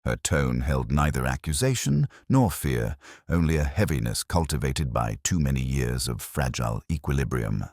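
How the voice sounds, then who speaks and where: A man reading a scripted first-person detective story in a measured, solemn, literary manner. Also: very deep voice, lively intonation, darkish tone, dry recording, clean studio sound. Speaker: narrator.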